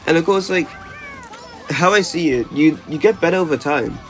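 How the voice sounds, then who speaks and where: A man's voice: on the high side, normal speed, a bit bright, faint music behind. A young man talking casually in a vlog, rambling with lots of stammered restarts, "like" fillers and casual swearing. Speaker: YouTuber.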